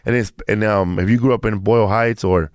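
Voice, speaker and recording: A man's voice: lowish voice, fairly even intonation, brisk, dry recording, studio quality. A man in his fifties from Mexico, a comedian chatting as a guest and telling a loose, rambling story with many restarts. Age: 50s. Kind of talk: casual chat.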